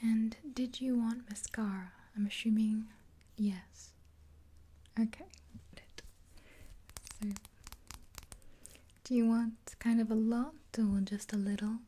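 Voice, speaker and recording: Woman in her twenties, breathy whisper, mellow and muted, flat intonation, unhurried ASMR roleplay, close-miked. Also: on the high side. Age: 20s. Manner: gentle, hushed, unhurried. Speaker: ASMR roleplay performer as makeup artist. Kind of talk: soft-whispered ASMR roleplay, casual rambling chat. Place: small indoor room, dark backdrop.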